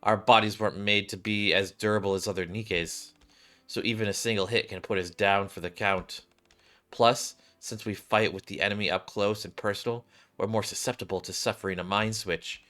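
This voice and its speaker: A man's voice: lowish voice, a bit bright, close to an everyday voice. A man voicing a grim, weary woman soldier with a serious, formal manner in a sci-fi game.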